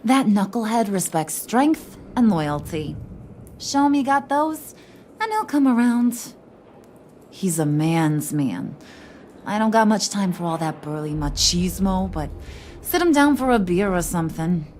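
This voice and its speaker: A woman's voice: medium pitch, very animated, a little slow, a little mellow, a little hiss or hum. A woman playing a brash, kooky, flirtatious crime boss in a video game, with a slangy, teasing New delivery. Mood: brash, playful. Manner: slangy, playful, teasing, wise-cracking.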